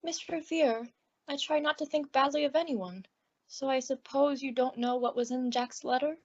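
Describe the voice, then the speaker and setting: A woman playing a sweet, wounded young wife with a gentle, ladylike manner in a radio comedy. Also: high voice, steady tone, normal speed, close to an everyday voice. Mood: demure. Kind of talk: 1950s radio comedy acting. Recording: dull old-time radio recording.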